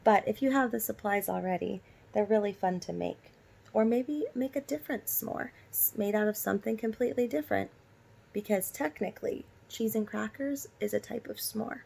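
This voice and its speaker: A woman's voice: mid-range voice, moderate pace, gravelly, a little mellow, breathy edge. A woman teacher doing a storybook read-aloud for young children, instructing and asking them direct questions. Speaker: elementary school teacher.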